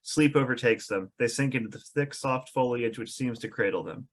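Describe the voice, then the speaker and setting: A man's voice: medium pitch, moderate pace. A man reading scene descriptions as the narrator of a script table read.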